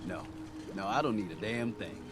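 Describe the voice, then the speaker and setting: An actor playing a rowdy, folksy harbor town elder in a video game, blunt and given to drawn-out emphasis. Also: male voice, lowish voice, lively intonation, heavy background noise, close to an everyday voice. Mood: gruff, amused. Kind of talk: dramatic video game dialogue. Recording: loud music over the voice.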